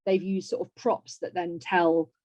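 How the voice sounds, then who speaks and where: A woman with a fairly low voice hosts an online discussion in a conversational way. The sound is dry and slightly dull, as heard on a video call. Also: level tone, measured pace, a little mellow. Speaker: webinar host. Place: indoor room on a video call. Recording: muffled, echoey video call audio.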